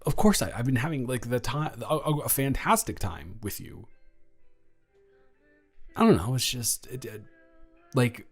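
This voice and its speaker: An awkward, self-deprecating high school boy played by a man talks in a romantic audio roleplay. He rambles and stammers in a conversational, mid-pitched voice, affectionate but unsure of himself. Music plays faintly behind him. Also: big pitch swings, measured pace, long pauses, husky, exaggerated voice. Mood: flustered, affectionate.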